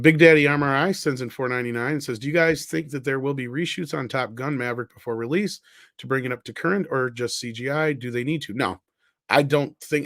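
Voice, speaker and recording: A man's voice: medium pitch, a bit bright, no room sound, clean studio sound. A man hosting a casual chat show, reading viewer questions aloud and swearing bluntly as he answers them. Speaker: podcast host reading super chats.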